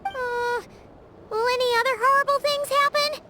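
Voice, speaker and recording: A woman voicing a small, anxious, childlike fairy companion in a video game, with a squeaky voice. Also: little pitch movement, moderate pace. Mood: anxious, alarmed. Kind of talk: scripted video game voice acting.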